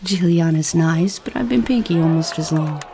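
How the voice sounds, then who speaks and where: A woman playing an earnest female bard and spellcaster in a fantasy audio drama, with a soft, husky voice. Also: deep voice, normal speed, slight background noise.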